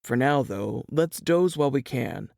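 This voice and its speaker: A man playing a protective, plain-spoken Southern piano player in a dramatized audiobook, with folksy period dialect and a creaky voice. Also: mid-range voice, animated, a little slow, darkish tone, no echo, clean studio sound. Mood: resigned, matter-of-fact.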